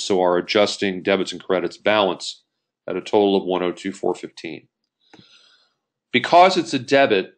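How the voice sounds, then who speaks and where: A man giving an explanatory lecture as an accounting instructor, working step by step through an example and restating key points. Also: lowish voice. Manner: step-by-step, rephrases key points, poses questions to himself.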